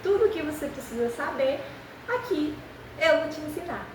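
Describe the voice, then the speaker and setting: A young woman who is a native Spanish speaker, speaking Portuguese with a Spanish accent and teaching beginners with an encouraging manner, repeating phrases slowly for practice. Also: high voice, animated, roomy sound, distant mic, noisy background. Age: young adult. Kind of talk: beginner language lesson.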